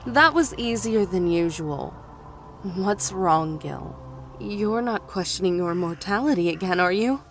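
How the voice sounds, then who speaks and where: A woman's voice: medium pitch, expressive, unhurried. A woman playing a sly, teasing lion-eared goddess, playful and taunting, in an audio drama. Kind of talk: audio drama character acting. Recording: music under the voice.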